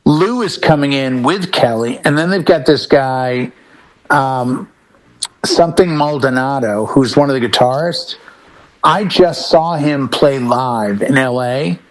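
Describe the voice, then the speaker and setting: A man's voice: medium pitch, unhurried, a little hiss or hum, low-quality recording. A middle-aged man chatting casually and emphatically about music, telling stories and giving firm opinions as a panelist. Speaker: talk show panelist.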